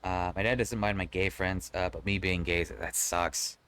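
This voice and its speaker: Male streamer, low voice, relaxed rambling chat with viewers. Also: normal speed, slight background noise. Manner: conversational and rambling, frequent restarts, swears casually. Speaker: live streamer. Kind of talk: casual live-stream chat, reading and answering chat.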